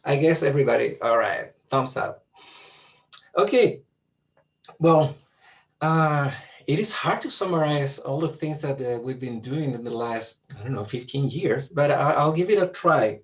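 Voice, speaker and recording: A man's voice: medium pitch, animated, a little slow, recorded from a distance. A man from Chile with a Chilean Spanish accent, whose first language is Spanish, giving a casual, friendly talk as a guest on a video call, full of fillers and restarts. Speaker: guest astronomer.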